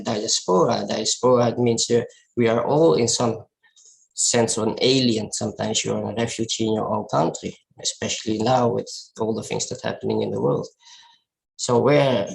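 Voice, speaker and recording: Dutch-accented man with a creaky voice. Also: mid-range voice, low-quality recording. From Netherlands. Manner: halting, reflective, searching for words. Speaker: photographer giving a talk. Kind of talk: informal presentation of personal work.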